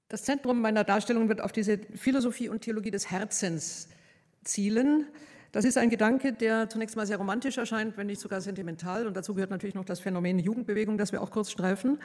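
Woman giving a slow thoughtful lecture, lots of ums, echoey hall sound. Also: mid-range voice, darkish tone. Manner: measured, thoughtful, with frequent hesitations. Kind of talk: academic lecture.

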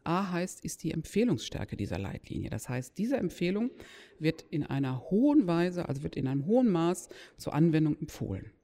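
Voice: mid-range voice, very animated, normal speed, dark tone, a little hiss or hum. A lecturer explaining didactically to an audience, stressing key words and posing rhetorical questions. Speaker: lecturer in nursing or healthcare. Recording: echoey hall with long reverb.

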